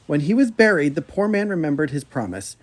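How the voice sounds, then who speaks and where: Expressive man reading a folk tale aloud. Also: on the high side, brisk. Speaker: podcast host reading fairy tales aloud.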